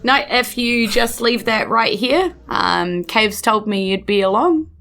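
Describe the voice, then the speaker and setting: A woman's voice: fairly high pitch, lively intonation, measured pace, gravelly, fairly bright tone, heavily acted. Woman doing easygoing apothecary guy, kiwi accent, bit hesitant, tabletop game, faint music behind. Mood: casual, matter-of-fact. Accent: New Zealand. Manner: casual, hesitant explaining. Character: male apothecary shopkeeper, easygoing, trusting, apologetic.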